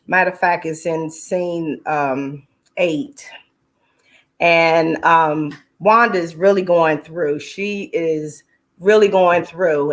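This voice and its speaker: A middle-aged woman giving personal, testimonial interview answers as an actress, heartfelt and emphatic, leaning on repeated phrases like a preacher. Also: lowish voice. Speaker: stage play actress and singer, interview guest.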